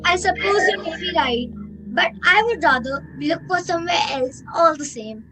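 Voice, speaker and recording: A woman's voice: extremely high pitch, animated, moderate pace, sharp tone, faint music behind, heavily acted, poor audio. A woman playing a nervous, imaginative young boy in a radio play, anxious and protesting. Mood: reluctant. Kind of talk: amateur radio play acting.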